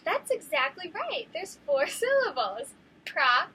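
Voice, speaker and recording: Woman teacher, classroom read-aloud, encouraging, high voice, very lively intonation. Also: normal speed, a little hiss or hum. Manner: animated, encouraging, questions to children.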